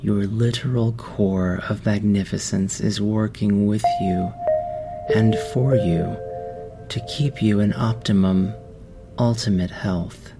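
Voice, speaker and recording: Slow, low-voiced man guiding meditation. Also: fairly even intonation. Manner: slow, gentle, soothing instruction with long pauses. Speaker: meditation guide. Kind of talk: guided meditation narration. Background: background music.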